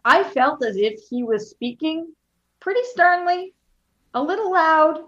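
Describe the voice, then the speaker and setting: A woman from Boston in casual conversation as a co-host, talking loudly and passionately and swearing freely. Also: sits high, lively intonation, unhurried, dull audio. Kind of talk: casual chat.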